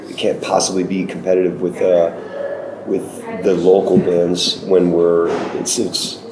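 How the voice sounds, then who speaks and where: A man's voice: sits low, little pitch movement, a little slow. A man from Chicago, a band's guitarist and singer, talking casually and rambling, repeating words as he thinks. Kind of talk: casual interview chat. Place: noisy music venue. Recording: roomy venue with crowd noise.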